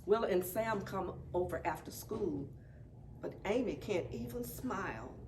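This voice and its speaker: A woman reading a children's picture book aloud at story time, expressive and deliberate. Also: mid-range voice. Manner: deliberate, animated. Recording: distant mic, faint background noise.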